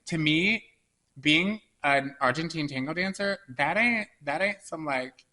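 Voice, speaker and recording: Animated, bright-voiced man chatting. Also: fairly high pitch. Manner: animated, playful, quick to tease. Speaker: podcast co-host. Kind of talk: casual chat.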